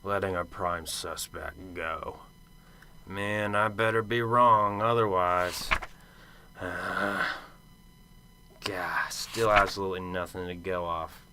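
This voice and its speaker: An actor playing a cynical, brusque big-city detective in a radio drama, gruff and terse. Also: male voice, low-pitched, fairly even intonation, slow pace, noisy background. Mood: bitter, brooding.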